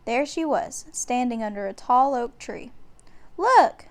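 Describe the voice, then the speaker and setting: A woman reading a children's bedtime story aloud in a deliberate, expressive way. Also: fairly high pitch. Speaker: storybook reader.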